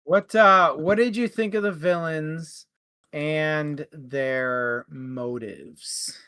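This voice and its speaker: A man with a fairly high, bright, edgy voice chats about a book, quick to joke. The sound is close and dry, with no room echo. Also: clear, resonant tone. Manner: laughs easily, playful asides. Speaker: book discussion co-host. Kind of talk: casual chat, reading viewer comments.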